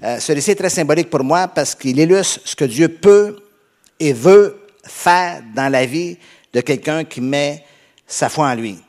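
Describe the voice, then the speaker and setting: Canadian pastor preaching with a Québécois accent. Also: male voice, on the high side, lively intonation, darkish tone. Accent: Québécois French. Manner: conversational, halting with many fillers and restarts. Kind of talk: sermon.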